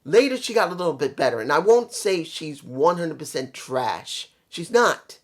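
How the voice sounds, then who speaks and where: The voice is a mid-pitched reviewer, a little hoarse from allergies. The delivery is casual and opinionated, as in a wrestling vlog. Points get repeated and stressed in a conversational way. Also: lively intonation, normal speed. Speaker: vlogger reviewing a wrestling show.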